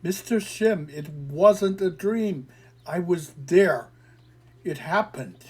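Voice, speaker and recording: A man's voice: high voice, animated, takes it slowly, a little mellow, husky, exaggerated voice. A man reading an audio story, voicing a frightened teenage girl who insists she was abducted and pleads to be believed. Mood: insistent, pleading. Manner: pleading, defensive, swearing in frustration.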